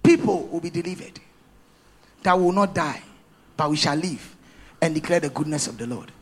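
A man leading a fervent prayer as a pastor, calling out short shouted lines for others to repeat. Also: on the high side, animated, normal speed, breathy edge. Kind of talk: call-and-response prayer.

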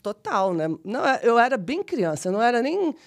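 A woman in her forties from São Paulo with a Brazilian São Paulo accent, telling her life story as a podcast guest in a chatty, animated, self-deprecating way. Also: a little high, fairly quick. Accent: São Paulo Brazilian Portuguese. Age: 40s. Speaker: guest, model and actress. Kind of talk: casual interview storytelling.

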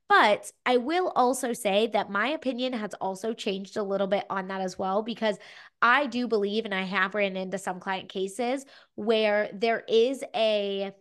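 A young adult woman co-hosting a fitness podcast, giving casual advice with lots of "like" and "you know", a little stuffy from a cold. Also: medium pitch. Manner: chatty, frequent "like" and "you know". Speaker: podcast co-host, fitness coach.

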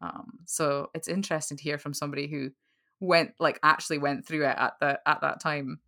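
A young woman, chatting on a podcast call. Her voice sits a touch low, and she talks in loose, rambling runs strung together with "like". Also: fairly even intonation, a little fast, bright, forceful tone. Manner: chatty and rambling, many "like" fillers. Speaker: podcast guest. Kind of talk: casual chat over a call.

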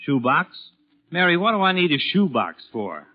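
Exasperated dad in muffled vintage radio comedy. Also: male voice, on the high side, big pitch swings, normal speed. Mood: exasperated, eager. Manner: blustery, dryly sarcastic. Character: exasperated middle-aged suburban father eager for fishing. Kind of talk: 1940s radio comedy acting.

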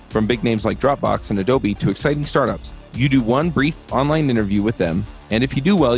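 A man reading a sponsor advertisement for a podcast in a brisk, upbeat manner. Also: fairly low pitch. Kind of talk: read sponsor spot.

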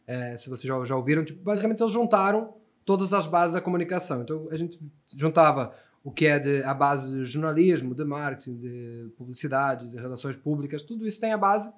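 A man from Portugal speaks with a European Portuguese accent, his voice sitting a little high for a man. He talks quickly and chattily, often restarting phrases and tagging questions onto the ends of sentences as he tells stories as a podcast guest. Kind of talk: casual storytelling conversation.

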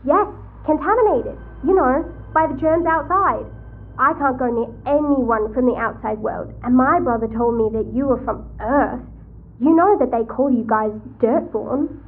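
High-voiced, blunt, chatty girl. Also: measured pace, husky, phone-quality sound, heavily acted. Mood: curious, chatty. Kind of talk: audio drama acting.

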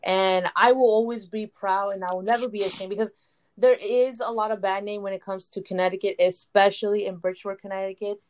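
A woman's voice: medium pitch, fairly even intonation, brisk, a little mellow. A woman speaks in a casual, looping way, circling back with "you know" again and again. She sounds like an aspiring wrestler chatting openly in an interview. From United States. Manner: rambling, heavy with "you know".

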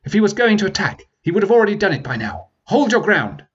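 A man voicing a young human prince in a storybook reading, speaking in a brisk, commanding way. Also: high-pitched, very animated, slightly rough, highs cut off, pitched above the performer's own voice, exaggerated voice.

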